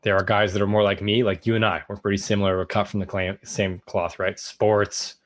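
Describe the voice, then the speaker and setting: Low-voiced man in earnest casual chat. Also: flat delivery, fairly quick, dry recording, clean studio sound. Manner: rambling, earnest, laughs easily. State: hot and sweating. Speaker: podcast guest, men's group facilitator. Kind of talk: casual conversational interview.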